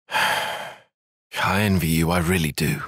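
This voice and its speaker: An actor playing a guarded, analytical young soldier-tactician in a video game, with formal, bookish phrasing and a dry, prickly edge. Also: male voice, low-pitched, steady tone, slow, fairly bright tone, no echo, exaggerated voice. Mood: solemn, wistful. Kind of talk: video game cutscene acting.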